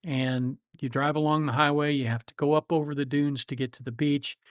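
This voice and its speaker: A man's voice: fairly high pitch, level tone, brisk, no echo, clean studio sound. A man of seventy, a lawyer hosting a livestream, chatting casually with viewers in a reflective, rambling way with many fillers and restarts. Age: 70s.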